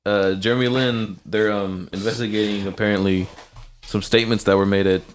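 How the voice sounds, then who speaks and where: A man's voice: on the low side, steady tone, brisk, a little hiss or hum. A man co-hosting a podcast, laid-back, giving his opinions in casual chat. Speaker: podcast co-host.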